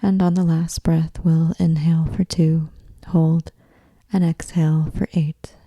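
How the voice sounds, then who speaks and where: A woman guiding a meditation in a soft, breathy near-whisper, with soothing, measured delivery. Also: sits low, flat delivery, dry recording. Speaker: yoga nidra meditation guide. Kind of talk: guided meditation.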